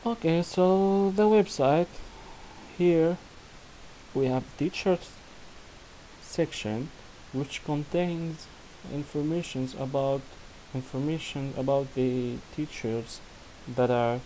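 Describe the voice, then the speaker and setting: A young man from Syria with an Arabic accent, a non-native English speaker, demonstrating his student project in halting speech full of restarts and fillers. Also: a little high, mellow, slight background noise, poor audio. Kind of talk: screen-recorded software demo.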